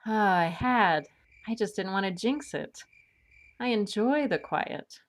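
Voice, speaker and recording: Level-headed swashbuckling partner, played by a woman: dry, bookish, skeptical, unhurried and exact in audio drama. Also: mid-range voice, expressive. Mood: thoughtful, focused. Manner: precise, dry, measured. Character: sensible, bookish woman adventurer, dryly skeptical.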